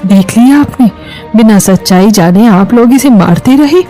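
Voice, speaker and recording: A woman's voice: fairly high pitch, lively intonation, measured pace, warm tone, faint music behind. A woman playing a firm, protective middle-aged mother-in-law in a Hindi moral story, speaking emphatically. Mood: indignant.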